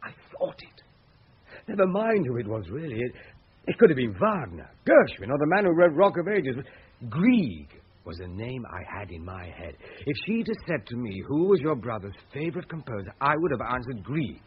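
A man's voice: mid-range voice, big pitch swings, moderate pace, slight background noise, close to an everyday voice. A man acting in a radio drama as a suspicious, obsessive husband, a pedantic researcher who questions insistently. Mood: defensive. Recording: muffled lo-fi radio drama recording.

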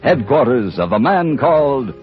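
A man announcing in an emphatic, deliberate 1950s radio style on a muffled old recording. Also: medium pitch, very animated, soft background music.

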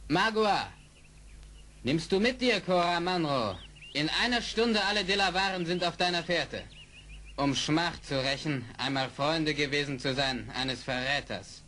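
Defiant young warrior, sharp highish voice, declaiming, noisy old radio drama. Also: male voice, a little slow. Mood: threatening. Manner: declamatory, ceremonial. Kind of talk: 1970s German radio play acting.

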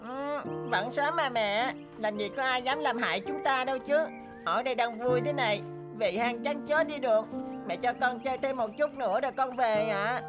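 A woman voicing a naive, boastful and stubborn young boy donkey in an animated fairy tale. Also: a little high, moderate pace, over music, lightly acted. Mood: whiny, defiant. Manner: boastful, whiny, talks to himself.

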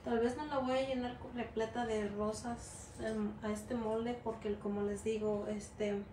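A woman's voice: fairly high pitch, little pitch movement, measured pace, noisy background. A middle-aged Mexican-American woman from the United States, teaching a gelatin art class in a rambling, chatty way with many fillers and English words mixed into her Spanish. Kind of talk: casual hands-on class demonstration. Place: indoor work table. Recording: echoey room with faint ambience.

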